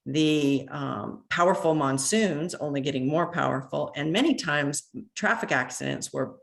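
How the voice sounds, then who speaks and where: A woman, president of a neighborhood association, reading an earnest public comment over a phone line, measured with a few halting restarts. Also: sits low, vocal fry. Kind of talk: prepared public comment read aloud. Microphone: phone call into online meeting.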